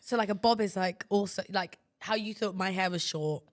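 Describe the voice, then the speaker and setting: A woman podcast co-host speaks at a middle pitch. She banters casually, teasing and questioning with open scepticism. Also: normal speed.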